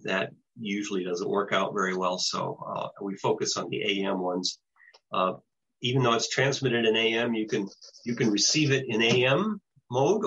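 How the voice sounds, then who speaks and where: An older American man around seventy with a husky voice, giving an informal presentation in a halting, explanatory way over a video call. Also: fairly low pitch, a bit bright. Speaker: presenter, shortwave broadcaster. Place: small home room with bookshelves, indoors, on video call. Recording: dull, lo-fi video call audio.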